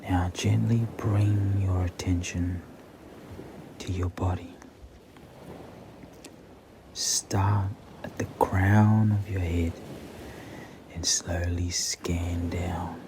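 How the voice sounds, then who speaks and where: A man guiding a meditation in a soothing, breathy near-whisper with long pauses. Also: deep voice, level tone. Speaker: meditation guide. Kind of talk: slow guided meditation. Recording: clearly audible background ambient noise.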